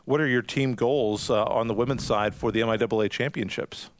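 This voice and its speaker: A man's voice: medium pitch, a little fast, dry recording. A man hosting an interview, asking long, rambling questions with self-deprecating asides. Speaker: podcast host. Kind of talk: interviewing a guest.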